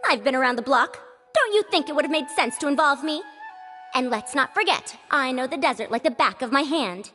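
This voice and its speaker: A woman voicing spirited, expressive female characters in a fantasy adventure video game. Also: sits high, a little fast. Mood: scolding. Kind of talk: animated video game voice acting. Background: background music.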